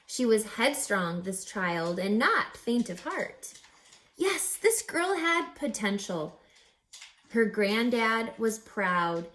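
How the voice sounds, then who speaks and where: A woman reading a rhyming children's picture book aloud as a teacher, with theatrical, deliberate, emphatic phrasing. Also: fairly high pitch, very animated, fairly bright tone. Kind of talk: expressive children's read-aloud of rhyming verse.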